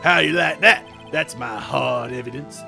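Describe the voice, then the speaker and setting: A man's voice: medium pitch, unhurried, creaky, bright, forceful tone, soft background music, heavily acted. Man playing a blustering, bumbling police detective. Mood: boastful. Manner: stammering, folksy, says pal.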